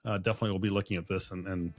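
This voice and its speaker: Low-voiced man hosting a casual podcast. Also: fairly even intonation, racing. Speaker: podcast host. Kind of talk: casual interview chat.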